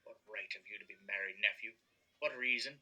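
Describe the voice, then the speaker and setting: A man's voice: on the low side, a little fast, bright, forceful tone, low-quality recording. An actor playing a bitter, irritable old miserly businessman in an audio drama, curt and scornful, given to grumbling. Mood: scornful, angry.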